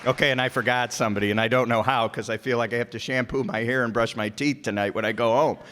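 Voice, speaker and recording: A man's voice: mid-range voice, fairly even intonation, fast talker. Guy doing casual thank yous on stage, jokey, echoey hall, bit of background noise. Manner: joking, off the cuff. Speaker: director giving closing thanks. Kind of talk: informal stage remarks to an audience.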